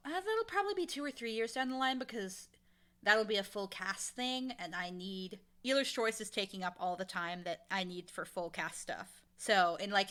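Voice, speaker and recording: A woman speaking as an interview guest, casual and enthusiastic, with an animated, emphatic way of talking about creative work. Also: mid-range voice. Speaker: interview guest, audio drama creator and showrunner.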